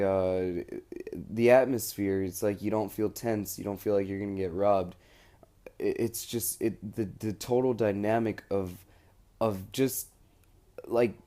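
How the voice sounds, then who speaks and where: Young man, American accent, low pitch, very relaxed dark tone, informal rambling, spoken diary. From United States. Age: young adult. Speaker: student recording an audio log abroad.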